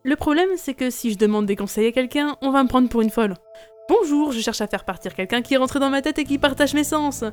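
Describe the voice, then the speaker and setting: Audio drama lead: actress as skeptical young woman, blunt and exasperated, voice on the higher side. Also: quick pace, clean tone, faint music behind, lightly acted. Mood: exasperated musing.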